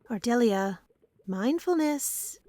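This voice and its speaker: A woman's voice: fairly high pitch, expressive, extremely slow, darkish tone, no echo, full character voice. A woman playing a stern, teasing potion merchant in a fantasy audio drama, poised, blunt and sardonic.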